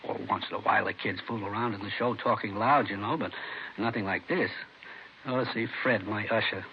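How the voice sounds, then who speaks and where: An actor playing a worried, talkative American movie theater manager in a 1950s radio drama, hesitant and confiding. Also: male voice, lowish voice, steady tone, fairly quick, lightly acted. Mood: troubled. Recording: dull, noisy vintage radio recording.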